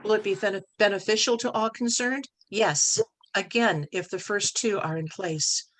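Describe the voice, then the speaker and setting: A woman presenting at a club training session in a measured, instructive manner, posing rhetorical questions. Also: medium pitch, a bit bright, poor audio.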